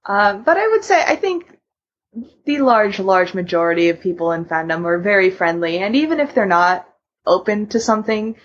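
A woman co-hosting a podcast, talking casually in a halting, conversational way. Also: mid-range voice, very animated, lo-fi sound. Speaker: podcast co-host. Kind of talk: casual discussion.

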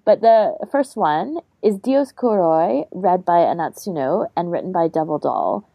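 A woman's voice: on the low side, expressive, a little slow, gravelly, dry recording, highs cut off. A woman co-hosting a podcast in casual, conversational chat. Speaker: podcast co-host.

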